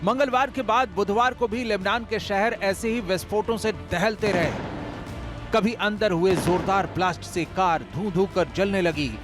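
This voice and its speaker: A man reading a scripted news report voice-over in an emphatic, dramatic way. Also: high voice.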